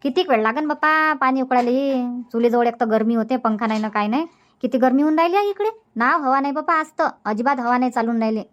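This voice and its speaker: A woman's voice: sits high, quick pace, lightly acted. A woman voicing a chatty young village homemaker in a cartoon comedy, speaking colloquial Marathi with a Varhadi accent. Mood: complaining.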